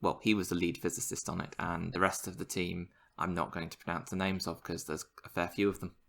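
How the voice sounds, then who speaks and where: A man's voice: low voice, level tone, a little fast, creaky, a bit bright. A man co-hosting a podcast, explaining science news in a casual, enthusiastic way. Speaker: podcast co-host.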